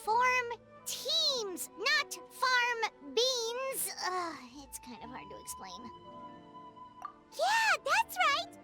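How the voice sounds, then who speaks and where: A woman voicing a small, childlike fairy companion in a video game, cutesy, bubbly and expressive. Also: extremely high pitch, extremely slow, over loud music, full character voice. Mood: excited, expressive. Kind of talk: English video game voice acting.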